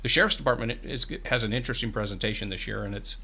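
A man's voice: medium pitch, steady tone, very fast, vocal fry, pushed and bright, breathy edge. A man in his fifties, a guest in a small radio studio, explaining programs earnestly and often restarting his words. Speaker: farm bureau representative, guest. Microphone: studio microphone on boom arm, headphones.

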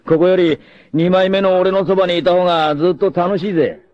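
Guy doing a breezy womanizing anime martial artist, kinda high for a man, cocky rough talk, muffled 80s tv sound. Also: steady tone, brisk, natural voice. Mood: boastful, playful. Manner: cocky, drawling, rough masculine speech. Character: carefree roguish young martial artist, cocky free-spirited womanizer. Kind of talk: dramatic 1980s anime voice acting. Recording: dull lo-fi old TV audio.

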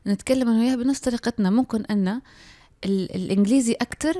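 A woman in her thirties with a Libyan Arabic accent, a podcast guest who explains her points thoughtfully and pauses often. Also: fairly high pitch, warm tone, no room sound, clean studio sound. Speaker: guest, applied linguistics lecturer. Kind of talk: casual conversation. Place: small home room. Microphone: handheld mic.

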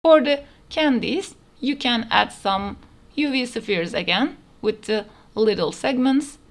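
A woman's voice: a little high, expressive, takes it slowly. A woman narrating a step-by-step 3D modeling tutorial in plain, instructional phrasing, recorded as a voice-over. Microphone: voice-over over screen recording.

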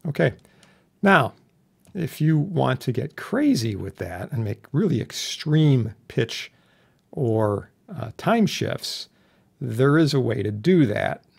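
A man narrating a casual software tutorial as an instructor, explaining things informally. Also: medium pitch, big pitch swings, slow, gravelly, husky. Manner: informal, explanatory with homely analogies. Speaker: instructor demonstrating audio software. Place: indoor voice-over for a screen recording.

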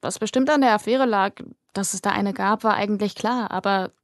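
A woman's voice: medium pitch, brisk, dry recording, clean studio sound. A woman reading a scripted story as an audiobook narrator. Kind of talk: scripted fiction narration, present tense.

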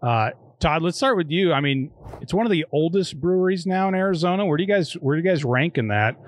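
A man in his thirties hosting a podcast, asking questions in a casual, halting way. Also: a little high, expressive, no room sound. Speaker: podcast host. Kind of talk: interview questions. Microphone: studio microphone with headphones.

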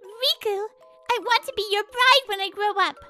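Teenage pop idol girl, squeaky high voice, devoted, cutesy, cartoon dub, soft music underneath. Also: normal speed. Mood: eager. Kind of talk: manga dub dialogue.

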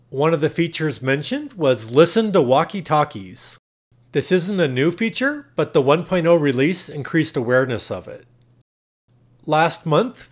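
Slightly higher-voiced man narrating a tutorial. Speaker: tutorial host. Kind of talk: instructional screen-recording narration, step by step.

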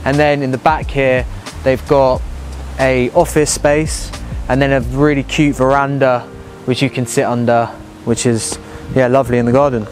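A man's voice: mid-range voice, flat delivery, moderate pace, darkish tone, soft background music. A young man with an upbeat, enthusiastic manner, casually showing viewers around a large house as he walks from room to room. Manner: upbeat, enthusiastic, conversational while walking. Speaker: house tour host. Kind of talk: casual walking house tour. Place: large house, indoors and garden.

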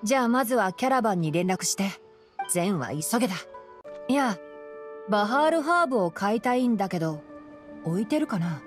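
A woman playing a clever young male forest ranger in an anime game, boyish, crisp and dryly critical. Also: medium pitch, animated, fairly quick, close to an everyday voice. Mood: brisk. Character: youthful male forest ranger captain, clever, brisk, sardonic. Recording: game music behind the voice.

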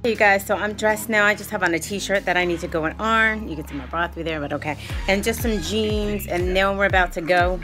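A woman's voice: medium pitch, fairly even intonation, fairly quick, hardly any pauses, music in the background. A woman, chatting upbeat to her viewers while she films on a phone at arm's length in her house and car. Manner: chatty and upbeat, addresses viewers as "you guys". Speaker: vlogger. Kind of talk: casual home vlog chat. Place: house rooms and a parked car. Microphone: handheld phone camera at arm's length.

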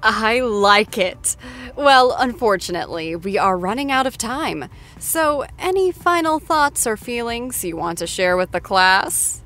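A playful, gushing female talk-show host. She interviews in a chatty style at an unhurried pace, over faint music. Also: a little high, lively intonation, a bit bright. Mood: upbeat.

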